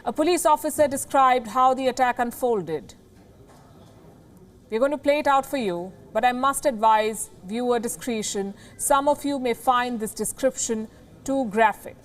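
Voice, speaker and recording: A woman's voice: on the high side, moderate pace. A woman with an Indian accent reading scripted news as an anchor, emphatic and formal.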